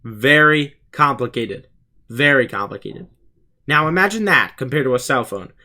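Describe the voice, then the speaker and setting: A teenage young man presents a scripted explainer in the style of a student YouTuber. His voice sits at an average male pitch. He is earnest and plain in how he announces each part. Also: animated, moderate pace, pushed and bright.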